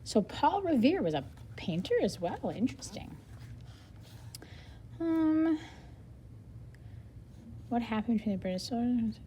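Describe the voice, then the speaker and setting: Mellow-voiced woman reading aloud, very lively pitch. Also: measured pace, long pauses, slight background noise. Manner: expressive, instructional, simple explanations for children. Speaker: teacher reading a nonfiction book aloud. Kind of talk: read-aloud lesson with think-aloud commentary.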